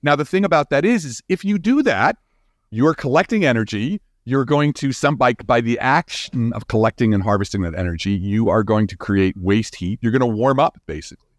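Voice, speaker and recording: A man's voice: medium pitch, expressive, fairly quick, no echo, clean studio sound. A man talking as a guest scientist in an interview, explaining in an informal, emphatic way and thinking aloud as he goes.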